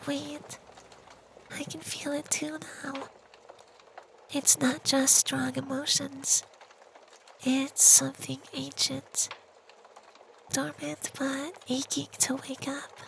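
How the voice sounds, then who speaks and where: Casting: actor for a gentle femboy vampire, very even, creaky, whispery ASMR roleplay voice, faint noise behind. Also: high-pitched, slow pace, pauses often, full character voice, rough recording. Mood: awed. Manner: hushed, breathy near-whisper, lingering delivery. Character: gentle, sweet, centuries-old femboy vampire.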